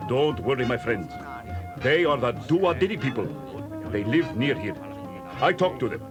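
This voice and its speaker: A man's voice: mid-range voice, lively intonation, a little slow, natural voice. A man playing a jolly, hearty, big bearded desert guide with a put-on foreign accent and broken phrasing, in a cartoon storybook with music behind. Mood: reassuring. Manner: broken English, hearty, reassuring.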